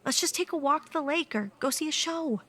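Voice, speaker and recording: Woman acting lively, teasing young waitress. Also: high-pitched, a little fast. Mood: earnest. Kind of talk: comedic radio play acting.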